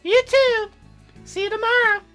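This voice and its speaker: A man's voice: very high-pitched, steady tone, moderate pace, bright, forceful tone, soft background music, pitched above the performer's own voice. A man voicing a chirpy, needy young girl cloud fairy in a sing-song, pleading manner for a story game. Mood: cheerful.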